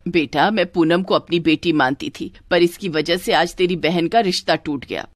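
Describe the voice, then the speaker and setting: A woman's voice: fairly low pitch, normal speed, no room sound, clean studio sound, lightly acted. Woman as a stern, scolding mother-in-law. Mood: angry, accusing. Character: stern, accusing middle-aged mother-in-law.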